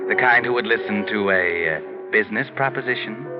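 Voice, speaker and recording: An actor playing a sardonic, knowing narrator, posing insinuating questions in the style of a 1940s radio mystery. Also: male voice, fairly low pitch, fairly quick, music in the background, natural voice. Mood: sly, ominous. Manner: sly, insinuating rhetorical questions. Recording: muffled 1940s radio recording.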